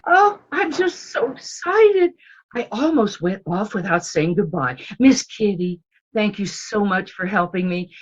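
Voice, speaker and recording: A slow, prim frontier sweetheart, slightly muffled. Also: female voice, medium pitch, expressive. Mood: excited, fond. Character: prim, emotional frontier woman being courted. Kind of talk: old-time radio western acting.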